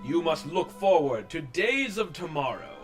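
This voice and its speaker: A man voicing a smug, grandly calm cartoon cat hero in a storybook parody. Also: on the high side, measured pace, music in the background. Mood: calm wisdom.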